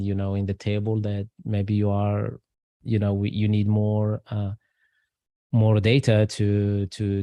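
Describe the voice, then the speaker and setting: A middle-aged man who grew up between Algeria and France, a guest in an interview, speaking English haltingly with many fillers and restarts. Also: lowish voice, warm tone, no echo. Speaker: guest, aerospace engineer. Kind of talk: casual interview talk.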